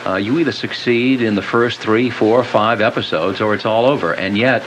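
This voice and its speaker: A man's voice: on the low side, moderate pace. A man hosting a live call-in radio interview, putting questions to his guest. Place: radio show on air. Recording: very noisy cassette of radio broadcast.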